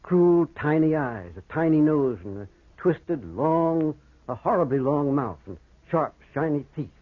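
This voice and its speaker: An actor playing a grave, authoritative doctor in a 1940s-style radio horror drama, speaking in a formal, deliberate, dramatic way. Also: male voice, on the high side, animated. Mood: horrified narration. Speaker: actor and narrator. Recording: muffled, phone-like old radio recording.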